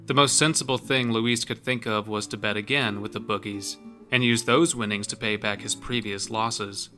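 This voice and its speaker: Low, forceful male horror narrator. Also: steady tone, normal speed, faint music behind. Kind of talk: scripted horror story narration.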